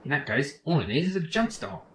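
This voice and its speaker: A man's voice: fairly high pitch, big pitch swings, fairly quick, fairly bright tone, slight background noise, pitched above the performer's own voice. A man voicing a snarky, sulking male robot sidekick in a game, with a dry, sarcastic, grumbling delivery. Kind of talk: reading game lines aloud in character.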